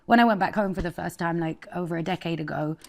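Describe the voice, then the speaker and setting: A woman in her thirties from Afghanistan, a guest chef telling her life story in a candid, expressive way. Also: on the low side, quick pace, vocal fry. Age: 30s. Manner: candid and expressive, laughs often.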